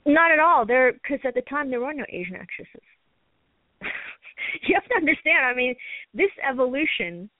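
A woman's voice: sits high, animated, no echo. American woman on a narrow phone line. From New York, United States. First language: Chinese. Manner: rambling, halting, often repeats words. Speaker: guest, actress and martial artist. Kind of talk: conversational phone interview. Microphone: telephone call.

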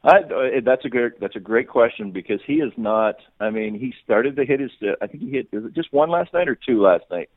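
A man's voice: medium pitch, expressive, a little fast, few pauses, no room sound, narrow, tinny audio. A man giving unscripted sports analysis as an interview guest, often repeating and rephrasing phrases as he reasons aloud. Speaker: sports reporter, podcast guest.

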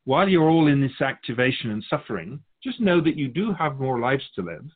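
A man acts as a wise spirit guide who answers questions about human souls. The delivery is measured and philosophical, gently instructive, with a medium male pitch that rises and falls in an animated way. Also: narrow, tinny audio. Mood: calm, instructive.

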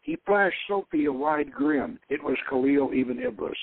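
A man's voice: on the high side, lively intonation, normal speed, breathy edge, no room sound. Man narrating a story, dull radio sound. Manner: stumbles over words and rereads phrases. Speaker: host reading his own novel aloud. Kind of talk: dramatic audiobook-style narration.

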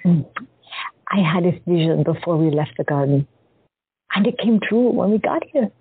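A woman's voice: fairly low pitch, animated, normal speed, husky, dry recording, full character voice. A woman playing a shy woman patient who has visions, speaking hesitantly and with wonder. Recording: dry, tinny phone-like audio.

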